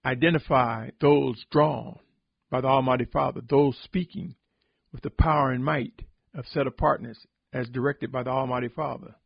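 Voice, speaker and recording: A man giving a solemn, sermon-like podcast reflection, exhorting deliberately with emphatic repetition in a husky, gravelly voice. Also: medium pitch, steady tone, no room sound, muffled recording. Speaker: religious podcast host and preacher.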